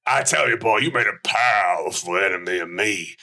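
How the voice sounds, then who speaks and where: Gravelly man drawling in comic role-play. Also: lowish voice, moderate pace, pushed and bright. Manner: drawling, playing an American president. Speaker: podcast guest.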